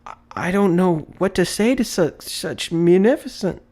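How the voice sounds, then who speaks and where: Man acting astonished, high-pitched portly old gentleman. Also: animated, moderate pace. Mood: overwhelmed. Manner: breathless, stammering amazement.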